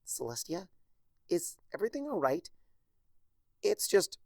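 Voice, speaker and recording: A man's voice: high-pitched, big pitch swings, a little slow, vocal fry, darkish tone, no room sound, studio quality, voice pushed up, exaggerated voice. A man doing a flustered eager young pony mare. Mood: concerned. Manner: flustered, earnest.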